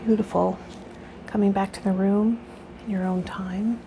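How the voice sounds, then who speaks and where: A woman's voice: mid-range voice, steady tone, a little slow, gravelly, background noise. A woman speaking as a tarot reader and spiritual coach, moving between reading aloud and casual guided talk to her viewers. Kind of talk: tarot lesson, partly read aloud, with guided meditation and casual vlog talk.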